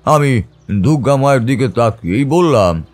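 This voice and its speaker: A man narrating a literary first-person ghost story in a measured, dramatic storytelling manner. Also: mid-range voice, expressive. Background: background music.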